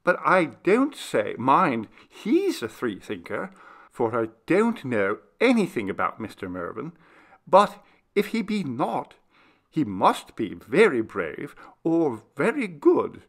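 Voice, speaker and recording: A man voicing a genteel young mistress, cosy and playfully fearful, in an animated storybook reading. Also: medium pitch, slow, vocal fry, pitched above the performer's own voice, exaggerated voice. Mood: admiring, uneasy.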